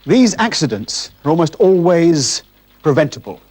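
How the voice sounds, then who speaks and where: A man giving measured, serious, scripted instruction as narrator of a 1970s television public information film. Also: fairly high pitch, very animated, a little mellow, breathy edge.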